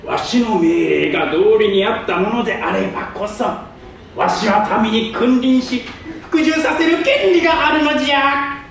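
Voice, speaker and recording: Staged reading: grandiose old monarch, high-pitched and imperious, theatrical delivery, recorded far off in the hall. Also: male voice, measured pace, vocal fry, a bit bright, heavily acted. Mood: lofty, reasoning. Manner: pompous, imperious, archaic old-man speech. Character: pompous old king ruling a tiny asteroid. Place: public hall stage, distant microphone. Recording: echoey hall from far off, faint noise.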